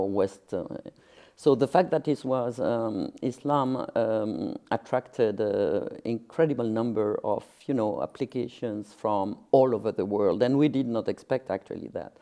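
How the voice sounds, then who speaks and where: A man's voice: on the low side, warm tone. A man in a documentary interview, hesitant, searching for words with many fillers.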